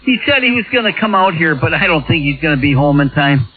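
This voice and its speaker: A man's voice: fairly high pitch, expressive, fast, husky, no echo, phone-quality sound. A plainspoken man, chatting casually over CB radio. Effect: radio transmission. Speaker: CB radio operator. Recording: thin, band-limited CB radio signal.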